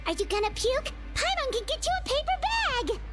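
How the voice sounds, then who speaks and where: A squeaky bubbly fairy sidekick. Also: female voice, sing-song, brisk. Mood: lively. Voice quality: squeaky, bubbly, talks of self by name. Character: tiny excitable female fairy companion. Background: loud game music.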